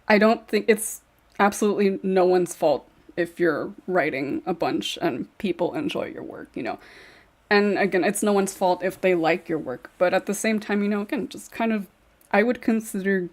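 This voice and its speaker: A woman's voice: lowish voice, darkish tone. A woman hosting a casual podcast discussion as its moderator.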